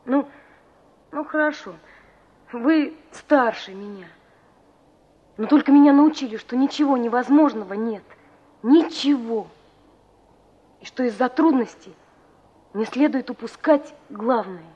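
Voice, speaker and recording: A woman's voice: a little high, a little slow, long pauses, darkish tone, slightly rough. An actress playing an earnest, stubborn and dreamy young country woman in a Soviet radio play. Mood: defiant. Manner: earnest, wistful. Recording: dull, muffled 1960s radio recording.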